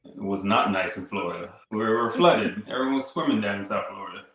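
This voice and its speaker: A man from Haiti in his thirties speaks as a podcast guest, his voice sitting very low. He talks in a relaxed, casual way, strung with easygoing fillers, and the room around him rings with a strong echo. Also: fairly quick. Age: 30s. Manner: relaxed storytelling, frequent "like" and "kinda" fillers. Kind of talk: casual interview chat. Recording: echoey room.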